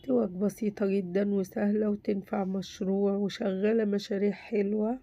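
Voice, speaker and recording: A woman with an Egyptian Arabic accent, hoarse from a cold, casually talking through a simple craft tutorial and reassuring viewers that it is very easy. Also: medium pitch, flat delivery, moderate pace, warm tone. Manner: chatty, reassuring, repeats "very easy". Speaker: crafts channel host.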